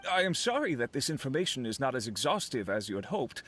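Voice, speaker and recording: Courteous, apologetic official. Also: male voice, mid-range voice, very animated, quick pace, a bit bright, slight background noise. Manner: formal, polite. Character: courteous, apologetic male official, earnest and deferential. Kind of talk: video game voice acting.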